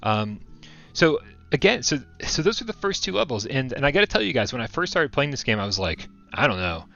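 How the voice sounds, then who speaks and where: A man's voice: medium pitch, sing-song, a little fast, gravelly, fairly bright tone, muffled recording, faint music behind. A man casually commenting on a VR shooter during a livestream, chatty and upbeat, laughing often and full of fillers. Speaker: VR game streamer.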